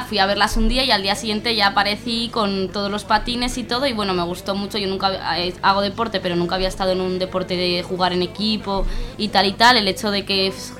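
A woman's voice: medium pitch, pushed and bright. A woman with a Spanish accent telling things casually in a rambling way as a radio guest. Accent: Spain. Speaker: roller derby player, interview guest. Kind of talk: casual chat, rambling anecdote. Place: community radio studio. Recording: faint music under the talk. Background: faint music.

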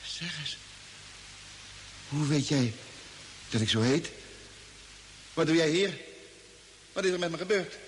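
Disbelieving astronaut, insistent and bewildered, high-pitched, long reverb, vintage radio drama. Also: male voice, lively intonation. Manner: insistent, halting when bewildered. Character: confused, agitated male astronaut engineer, lost and disbelieving. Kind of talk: old radio drama acting. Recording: hissy old radio recording, long reverb.

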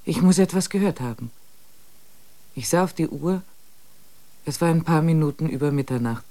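Guy narrating a radio play in first person, quiet and tense, slightly high voice. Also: normal speed.

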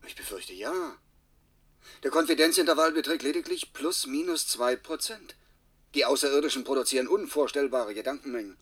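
An actor playing an apprehensive research assistant who reports technically and deferentially in a radio drama. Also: male voice, medium pitch, fairly quick, fairly bright tone, husky. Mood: worried reporting. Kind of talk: German radio drama acting.

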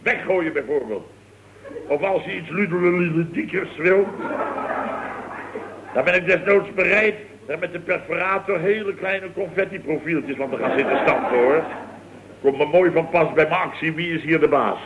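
A man's voice: a little high, normal speed, very noisy, natural voice. An actor playing a blustering, exasperated Dutch company boss in a late-sixties radio comedy, exclaiming his way through anecdotes. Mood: indignant, blustering. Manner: exclamatory, anecdotal, full of tag questions. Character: blustering, exasperated male boss of a building firm. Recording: dull old radio recording.

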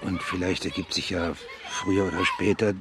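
An actor playing a calm, discreet senior police official in a radio crime drama, speaking in a controlled way. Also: male voice, deep voice, moderate pace, noisy background. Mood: composed. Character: composed, discreet senior police official managing a secret affair.

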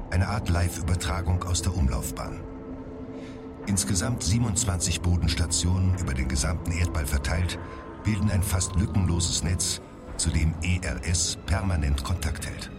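A man delivers factual documentary narration in a very deep voice. He sounds set back from the microphone, and loud music plays over the orderly, scripted read. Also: lively intonation, normal speed. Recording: distant voice under loud music.

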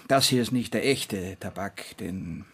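A resigned, brooding man of the radio play. His voice is strikingly breathy and husky, a little low, and he muses slowly and haltingly, sinking toward a half-whisper. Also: fairly bright tone, heavily acted. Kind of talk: German radio play acting.

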